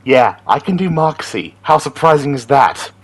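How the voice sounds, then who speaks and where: A man's voice: fairly high pitch, expressive, measured pace, husky. A chatty, enthusiastic, giggly man talking casually as an amateur voice impressionist introducing his character impressions. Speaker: amateur voice impressionist and aspiring voice actor.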